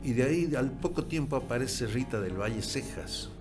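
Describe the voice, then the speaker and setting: A middle-aged man with an Argentine Spanish accent, a writer and musician telling history in a relaxed chat, with hesitant restarts and animated bursts. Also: mid-range voice, normal speed. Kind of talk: conversational storytelling. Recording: music playing behind.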